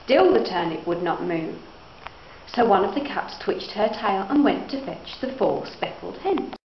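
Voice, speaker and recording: A woman's voice: mid-range voice, animated, measured pace. A young woman reading a children's picture book aloud as a student narrator. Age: young adult. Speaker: student reader. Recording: echoey, muffled room, faint background noise.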